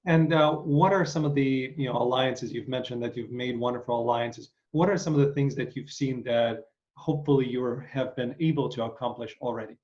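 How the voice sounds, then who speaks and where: A man hosting an interview, losing his voice and clearing his throat, speaking in a rambling, welcoming way with many fillers. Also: mid-range voice, fairly quick, a little mellow. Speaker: interview host. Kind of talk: casual interview chat. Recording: dull lo-fi sound.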